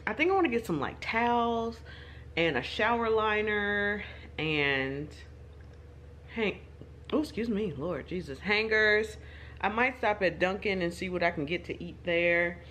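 A woman's voice: on the low side, a bit bright. Lady rambling at her phone cam, jokey asides, bit of car noise behind. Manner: chatty, rambling, self-deprecating asides. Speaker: vlogger. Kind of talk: casual vlog talking to camera. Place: home, then in her car. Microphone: phone or camera, self-filmed.

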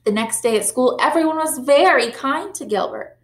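A young woman teacher reading a picture book aloud to young children, animated and sympathetic, on a close webcam in a classroom. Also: sits high, moderate pace. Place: classroom, indoors. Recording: roomy classroom echo.

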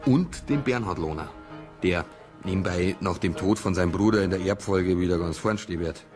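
Deep-voiced Bavarian detective played by a man. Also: normal speed, natural voice. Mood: speculating. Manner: colloquial, insistent. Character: dogged male police detective, doubting and suspicious. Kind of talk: naturalistic radio crime drama. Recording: music behind the voice.

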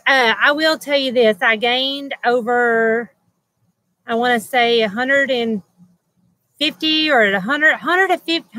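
A woman in her forties chats through a livestream from a webcam outdoors, reading out comments with lots of ums and easy laughs. She talks slowly in a bright, fairly high voice. The sound is dry and close. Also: dry recording, rough recording. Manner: chatty, laughs often, many ums and restarts. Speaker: livestream host. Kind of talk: casual live chat reading comments. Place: outdoors by a house wall.

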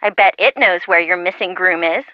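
An actress playing a girly, sentimental young woman, expressive and fussy, chiding her friends through a telephone filter. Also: fairly low pitch, normal speed, no echo. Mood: curious, chatty. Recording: muffled, lo-fi phone-call sound.

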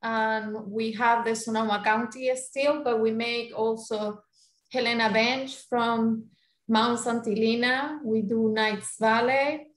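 A woman with a Chilean Spanish accent talks slowly and haltingly, pausing on fillers. Her voice sits a little high. She is heard over a video call from an echoey room. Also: steady tone. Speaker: winemaker. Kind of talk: video call panel talk.

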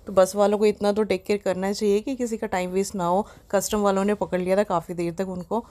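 A young woman talks through her trip in a casual, chatty voice-over, quick to get excited. Her pitch sits in the middle of the usual range. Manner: chatty, excitable, mixes English into Hindi. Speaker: travel vlogger. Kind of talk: casual travel vlog voice-over.

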